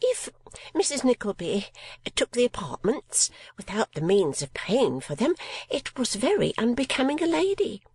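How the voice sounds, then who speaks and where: A woman voicing a mincing, genteel landlady of fifty in a storybook reading, with a high, breathy, prim and affected manner and dainty little coughs. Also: big pitch swings, normal speed, darkish tone, heavily acted. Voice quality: high and breathy, sometimes whispered.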